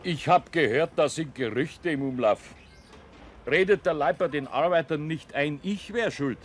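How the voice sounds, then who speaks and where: An actor playing a demanding construction boss in a radio play, curt and authoritative. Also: male voice, on the high side, moderate pace, slight background noise, close to an everyday voice. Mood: probing, suspicious. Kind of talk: naturalistic radio play acting.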